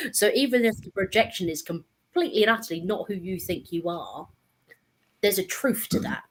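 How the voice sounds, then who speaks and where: A woman's voice: mid-range voice, lively intonation, moderate pace, rough recording. A woman in a casual panel discussion, talking in a reflective, conversational way.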